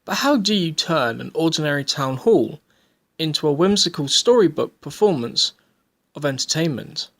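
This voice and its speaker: A man's voice: on the high side, animated, normal speed, fairly bright tone. A young adult man, narrating a documentary from a script.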